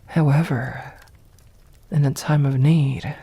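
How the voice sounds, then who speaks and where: Slow, breathy vampire mistress, played by a woman. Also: rumbling low, fairly even intonation, exaggerated voice. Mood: teasing, possessive. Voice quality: breathy, soft, often whispered. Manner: slow, deliberate, condescending, formal old-fashioned diction. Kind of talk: ASMR roleplay, scripted acting.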